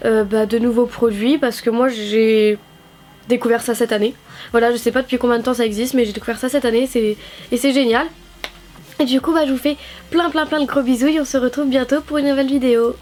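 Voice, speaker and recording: A woman's voice: high-pitched, a little mellow. An 18-year-old woman from France doing a casual craft product review, sounding nasal from a cold and chatting with lots of fillers and repeated words. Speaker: craft YouTuber.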